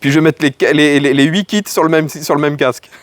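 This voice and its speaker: A man's voice: fairly high pitch, fairly even intonation, brisk, no echo. A man bantering casually in a joking, teasing way. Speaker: host's companion.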